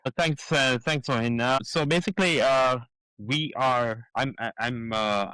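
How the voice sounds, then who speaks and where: A man in his fifties from Kenya, a guest describing his business in an interview, speaking haltingly with many fillers and restarts. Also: medium pitch, sharp tone, clean tone, no room sound, rough recording. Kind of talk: casual interview talk.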